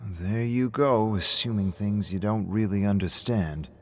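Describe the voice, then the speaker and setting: A man's voice: lowish voice, a little slow. A man voicing a beaten, proud, surly young man who speaks curtly and dismissively in a story reading. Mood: irritated. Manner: curt, dismissive.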